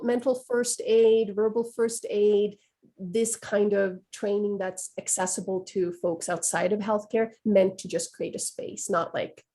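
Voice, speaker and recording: A woman's voice: medium pitch, dark tone. A woman whose first language is Swedish, speaking thoughtfully on a video call webinar and often restarting as she searches for words. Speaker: presenter on trauma-informed practice. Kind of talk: webinar discussion.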